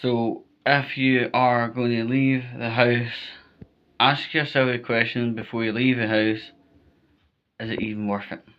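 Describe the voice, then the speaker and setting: A man's voice: medium pitch, little pitch movement, unhurried, clear, resonant tone, dull audio. A Scottish man giving informal, emphatic advice to viewers, repeating his points and often checking in with "right?". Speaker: vlogger giving storm safety advice.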